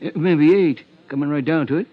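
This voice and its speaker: A fussy small-town American lawman acted by a man with a high-set voice for a male. He rambles in a folksy, hesitant way, like a 1950s radio character part, on a muffled old broadcast recording. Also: fairly quick, lightly acted. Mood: folksy, musing.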